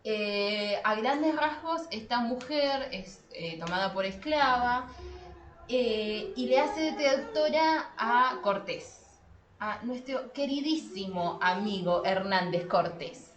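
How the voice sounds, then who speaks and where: A woman's voice: fairly high pitch, animated, slow pace. A woman co-hosting a casual discussion podcast, talking playfully with wry asides. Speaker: podcast co-host. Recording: roomy echo, clearly noisy background.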